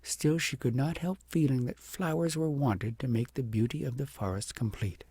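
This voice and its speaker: A man with a gravelly, husky voice narrating a storybook in a measured, deliberate and expressive way. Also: mid-range voice, no echo. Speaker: audiobook narrator.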